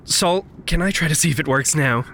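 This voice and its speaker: Earnest, halting man, very high-pitched. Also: sharp tone, a little hiss or hum. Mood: grateful, tender. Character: vulnerable, earnest man with magic, a big brother. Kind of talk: audio drama acting.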